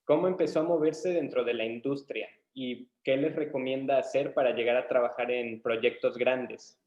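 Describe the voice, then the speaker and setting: A man's voice: medium pitch, fairly even intonation, normal speed. Young Mexican man on dull, echoey audio. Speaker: interviewer, student webinar host. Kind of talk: conversational interviewing. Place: indoor room, online webinar call.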